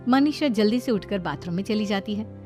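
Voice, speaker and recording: A woman's voice: medium pitch, lively intonation, a little fast, darkish tone, soft background music. A woman narrating a scripted cartoon family story as the storyteller.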